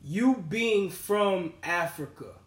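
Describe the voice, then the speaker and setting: A man's voice: sits high, animated, takes it slowly, a bit bright, distant mic. A man, hosting a casual podcast chat in laid-back street slang. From New York. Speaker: podcast host. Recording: echoey room, off mic.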